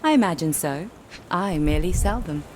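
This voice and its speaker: Woman playing polite wry flower shop lady in a game, slightly low voice, faint noise behind. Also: animated, moderate pace, darkish tone, natural voice, rough recording. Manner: polite, lightly wry, reserved. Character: polite, wry, genteel widowed flower seller. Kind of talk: scripted game dialogue.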